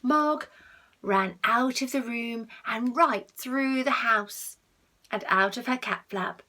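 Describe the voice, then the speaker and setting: A woman reading a picture book aloud to children, expressive, with asides to the listeners and hushed tones for effect. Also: on the high side, slow. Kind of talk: animated read-aloud with asides to young listeners.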